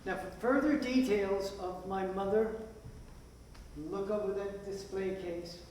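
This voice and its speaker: A man's voice: high-pitched, unhurried, darkish tone. An older man giving an informal, humorous introductory speech to an audience as a playwright. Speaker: playwright introducing his play. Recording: echoey hall from far off, hiss.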